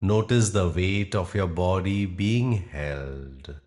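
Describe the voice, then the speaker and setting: A man guiding a meditation in soothing, measured phrases with long, deliberate pauses. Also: deep voice, darkish tone. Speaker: meditation guide. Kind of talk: guided meditation narration.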